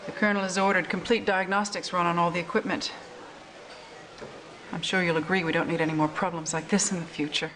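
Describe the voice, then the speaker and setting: A woman's voice: lowish voice, fairly bright tone. Cool, formal female briefing officer. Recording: noisy game cutscene audio.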